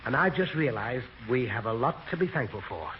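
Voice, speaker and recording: A man's voice: mid-range voice, animated, brisk, lightly acted. A man playing a frantic, theatrical father in a 1940s radio comedy. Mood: relieved, sweet. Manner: theatrical, exaggerated. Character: excitable, frantic father of a little girl. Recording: hissy, muffled old radio broadcast.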